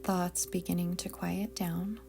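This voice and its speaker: Woman, meditation guide, very slow delivery, slightly low pitch, soft breathy near-whisper, calm, gentle. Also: music in the background. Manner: calm, soothing, gentle, deliberate. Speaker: yoga nidra meditation guide.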